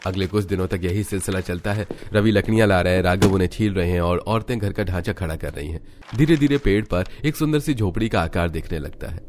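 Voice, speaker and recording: A man reading scripted Hindi narration for an animated family story as its narrator. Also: deep voice, brisk. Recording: music behind the narration.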